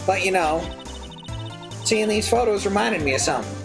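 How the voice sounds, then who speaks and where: Folksy, boastful young Southern woman for a mystery game, played by a woman; animated intonation, easily offended, music behind. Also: mid-range voice, normal speed, vocal fry. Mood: excited, boastful. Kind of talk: voiced read-through of a mystery game.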